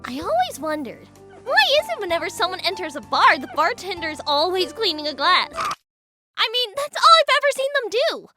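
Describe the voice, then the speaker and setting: A woman voicing an excitable, easily flustered ten-year-old girl in a cartoon parody dub. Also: very high voice, moderate pace, music in the background. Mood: baffled, exasperated. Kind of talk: animated parody dub acting.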